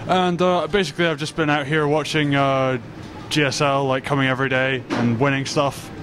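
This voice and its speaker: A man's voice: medium pitch, flat delivery, normal speed, noisy background. A young man, a guest at a gamer roundtable, speaking offhand and joking into a handheld mic. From Scotland. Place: small restaurant.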